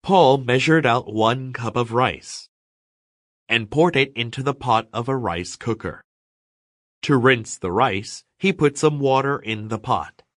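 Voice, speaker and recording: A man reading step-by-step sentences for an English lesson, deliberate and clearly enunciated. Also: medium pitch, a bit bright, no echo, clean studio sound. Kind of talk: scripted read-along narration.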